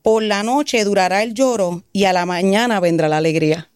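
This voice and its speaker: A woman's voice: medium pitch, normal speed, darkish tone, smooth, no echo, clean studio sound. A Puerto Rican woman telling her faith testimony in an interview, earnest and saying amen often. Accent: Puerto Rican Spanish. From Puerto Rico. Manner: earnest, answers with frequent "amén". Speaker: guest giving a testimony.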